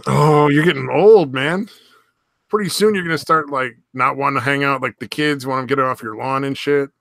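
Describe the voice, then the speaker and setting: A man's voice: mid-range voice, moderate pace, vocal fry, a bit bright, no echo, clean studio sound. A man in his late thirties chatting casually on a podcast, swearing easily. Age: 30s. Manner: laid-back, swears casually. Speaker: podcast co-host. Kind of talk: casual chat.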